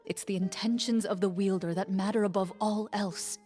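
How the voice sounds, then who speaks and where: A woman acts as a noble swordswoman from a fantasy game, calm and firm. Her voice sits at a middling pitch, measured and composed, with music underneath. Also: lightly acted. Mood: stern, urging. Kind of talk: scripted fantasy game dialogue.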